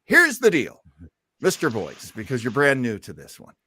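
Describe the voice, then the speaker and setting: A man's voice: mid-range voice, wildly swooping pitch, moderate pace, bright, forceful tone, husky. A man hosting a casual live online show in an effusive, folksy way with plenty of exclamations. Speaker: host of an online listening show.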